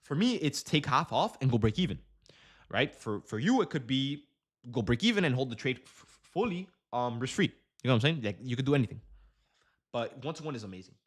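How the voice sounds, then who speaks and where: A man's voice: mid-range voice, big pitch swings, a little fast. A young man casually chatting on a trading livestream, slangy and loose, full of fillers and restarts. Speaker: day trading streamer.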